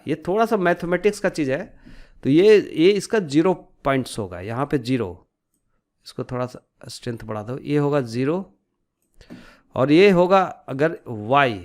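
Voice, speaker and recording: Man, slightly high voice, software instructor, informal screen tutorial narration, explanatory, frequent tag questions.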